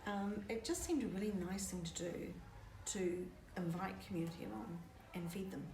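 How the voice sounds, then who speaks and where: A woman explaining her community food project in a measured, earnest way. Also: mid-range voice, fairly even intonation. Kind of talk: documentary interview. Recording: echoey room, distant mic, faint noise.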